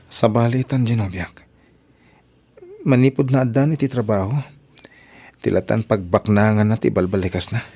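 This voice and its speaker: A man's voice: fairly low pitch, mellow, breathy edge. A man acting as a guilt-ridden, generous young man in an Ilocano radio drama, solicitous and insistent, with an Ilocano accent. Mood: musing.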